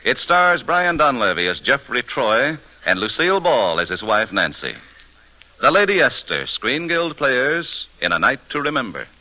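A man's voice: mid-range voice, sing-song, moderate pace, bright, forceful tone, a little hiss or hum, low-quality recording. A male announcer speaking in a grand, declamatory 1940s radio style. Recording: vintage radio broadcast with music.

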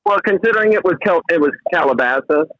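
A man's voice: on the high side, expressive, fast talker, no echo, muffled recording. A man calling in to a talk show, explaining earnestly over a phone-in line. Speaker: caller. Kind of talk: call-in radio talk.

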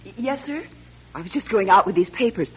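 An actress playing a deferential, solicitous secretary in a radio drama, speaking tentatively. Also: female voice, mid-range voice, lively intonation, fairly quick, breathy edge. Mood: polite, concerned. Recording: dull lo-fi radio recording, faint noise.

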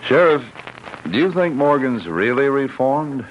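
An actor playing a folksy, amiable small-town banker in an old-time radio western. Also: male voice, mid-range voice, animated, unhurried, close to an everyday voice. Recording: muffled old radio recording, faint noise.

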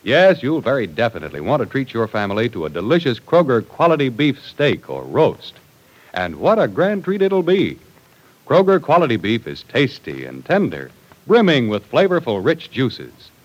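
An American man announcing in an old-time radio style, with emphatic, polished salesmanship for sponsor pitches and serial narration. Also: huge pitch swings, normal speed, poor audio.

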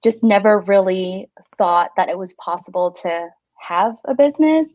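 A woman's voice: mid-range voice, lively intonation, normal speed, a little mellow, dry recording, muffled recording. A woman in her thirties from Singapore, a stationery shop owner and guest, talking in a friendly way with many fillers. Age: 30s. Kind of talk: casual interview chat.

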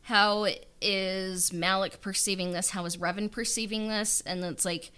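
Bright, clear-voiced woman, unhurried. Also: mid-range voice, steady tone. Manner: thinking aloud, frequent "like" and "kind of". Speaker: audio drama writer being interviewed. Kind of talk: casual, reflective conversation.

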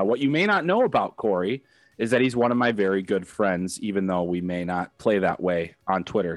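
A man hosting a casual podcast interview, his voice a little off from a cold, relaxed and joking. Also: lowish voice, no room sound. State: has a cold. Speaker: podcast host.

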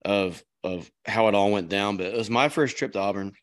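A man's voice: lowish voice, a little fast. A man hosting a podcast with a strained voice, chatting casually and rambling with restarts. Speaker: podcast host. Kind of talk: casual chat.

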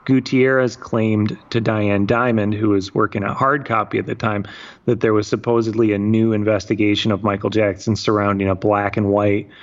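A man from Michigan hosting a talk show, telling a story in measured narration and turning blunt at times. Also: fairly low pitch, muffled recording. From Michigan, USA. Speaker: podcast host. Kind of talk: scripted narration mixed with casual chat.

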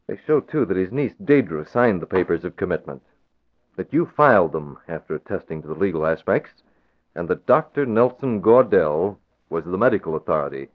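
An actor playing a calm, measured newspaper publisher who presses with pointed questions, in 1940s radio acting style. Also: male voice, sits low. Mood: probing. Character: cool, probing male newspaper publisher. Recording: tinny phone-like old radio recording.

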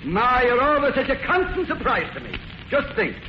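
An actor playing a jovial, blarneying policeman, genial and flattering, in 1940s radio comedy style. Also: very high voice, moderate pace, exaggerated voice. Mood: teasing, flattering. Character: blarneying, jovial male Irish-American police officer. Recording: muffled, hissy 1940s radio recording.

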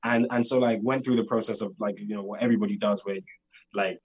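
A man's voice: lowish voice, fairly even intonation, fast, husky, highs cut off. A young man with a London accent, chatting eagerly as an actor guest in an interview, leaning on "like" as he talks. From United Kingdom. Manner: chatty, fills talk with "like", eager. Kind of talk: casual interview chat.

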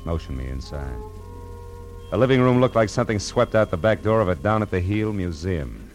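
A hard-boiled private eye with a low voice, clipped and wry. He sounds world-weary, in the manner of 1940s radio noir. Music plays behind him on an old radio recording. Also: very animated, a little fast. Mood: wry, brisk narration. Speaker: narrator and lead actor.

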